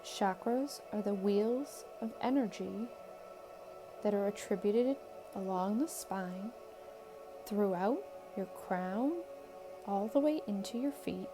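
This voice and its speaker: A woman leading a guided meditation in a calm, soothing, measured way, leaving long pauses between short phrases. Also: mid-range voice. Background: background music.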